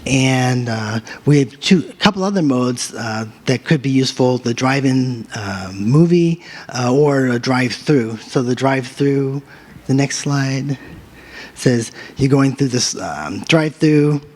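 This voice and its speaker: A man's voice: medium pitch, unhurried, darkish tone. A man presenting his team's app at a hackathon, walking through it with many fillers and restarts. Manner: loose, filler-heavy walkthrough. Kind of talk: live product demo. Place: conference stage.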